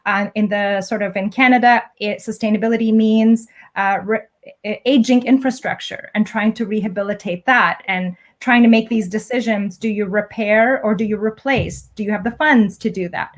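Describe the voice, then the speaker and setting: A woman born in Egypt, an academic guest, giving measured, explanatory interview answers. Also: mid-range voice, fairly even intonation.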